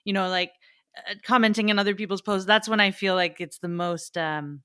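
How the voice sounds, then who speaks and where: A woman's voice: mid-range voice, fairly even intonation, a little fast, a bit bright, clear, resonant tone, no echo, clean studio sound. A woman with a North American accent, from the United States, chatting casually and informally as a podcast host. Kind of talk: casual conversational podcast chat.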